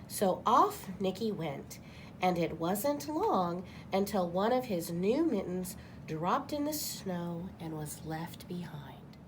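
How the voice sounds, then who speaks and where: A woman reads a children's story aloud at an unhurried pace. Her pitch moves up and down in an animated way, and a faint noise sits behind her voice. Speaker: guest storybook reader.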